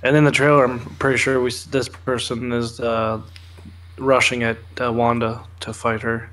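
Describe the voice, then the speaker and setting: A young man talks as co-host of an informal entertainment news show. His pitch is middling and stays quite flat. He explains things in a casual, sweary way, sitting in a room at home. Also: normal speed. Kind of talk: casual chat, explaining film trailers and comics.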